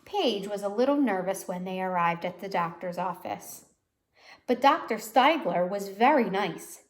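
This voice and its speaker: A woman reading a children's storybook aloud like a teacher, pausing to explain words and put questions to listeners. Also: medium pitch, animated. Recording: roomy, echoey sound.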